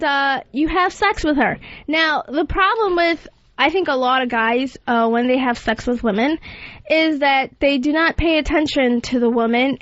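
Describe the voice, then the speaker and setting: A woman's voice: high-pitched, fairly bright tone, no room sound. A woman hosting a talk show in a casual, frank and unembarrassed way. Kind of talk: casual radio chat. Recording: dull lo-fi audio, faint music.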